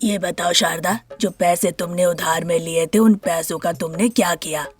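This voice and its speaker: A woman voicing a stern older male head of a family in a story, speaking slowly and deliberately, with music behind. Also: on the low side, fairly even intonation, pitched below the performer's own voice, natural voice. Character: gruff older family patriarch, stern and measured.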